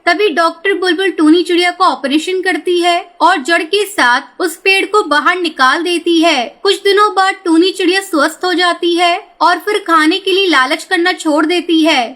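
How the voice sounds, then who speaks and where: A woman's voice: very high voice, moderate pace, few pauses, fairly bright tone. A woman reading scripted narration for a children's cartoon story.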